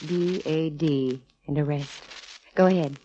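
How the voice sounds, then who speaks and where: An actress playing a confident, wisecracking female private detective in a brisk, wry 1940s radio style. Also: low-pitched. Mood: musing. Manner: brisk, wry, self-assured. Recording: dull, hissy old radio recording.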